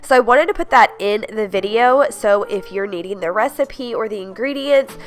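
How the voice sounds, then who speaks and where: A young woman talks through her weekly dinners, her voice thick and nasal from a stuffed-up nose. She is chatty and enthusiastic, peppering her lines with "like" and "you guys", at an everyday mid pitch. Faint music plays quietly behind her. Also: normal speed. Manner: upbeat, gushing, many "like"s and "you guys". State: congested, stuffed-up voice. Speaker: home cooking vlogger. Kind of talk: casual chatty recipe voice-over.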